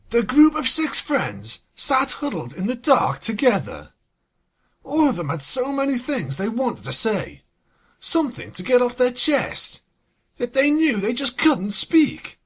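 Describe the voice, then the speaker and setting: A story reader with a husky voice, sardonic and exasperated, swinging between narration and sweary asides. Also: mid-range voice. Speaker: fan fiction reader and commentator. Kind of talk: scripted story reading with sarcastic asides.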